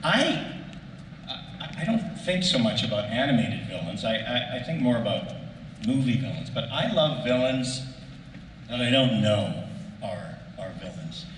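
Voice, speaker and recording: A middle-aged man speaking casually on a panel, searching for his words in a halting way. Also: medium pitch, very animated, fairly bright tone. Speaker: voice actor panelist. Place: large convention panel room. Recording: echoey hall, distant mic, faint noise.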